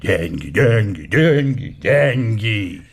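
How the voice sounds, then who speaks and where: An actor playing a gloomy, irritable middle-aged scholar who mutters and grumbles brusquely in a radio drama. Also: male voice, fairly low pitch, very animated, takes it slowly, gravelly, slightly rough, exaggerated voice. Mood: grumbling.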